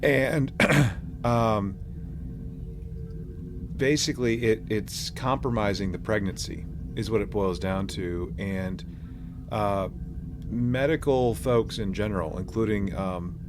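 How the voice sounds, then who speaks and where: A man co-hosting a podcast in casual chat, halting as he pieces his thoughts together with many pauses. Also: fairly low pitch, noisy background. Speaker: podcast co-host.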